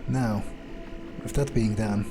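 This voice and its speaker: A man's voice: fairly low pitch, fairly even intonation, takes it slowly, music in the background. A man thinking aloud in character as a brooding, grumbling vampire during a game playthrough, hesitant and prone to swearing. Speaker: let's player.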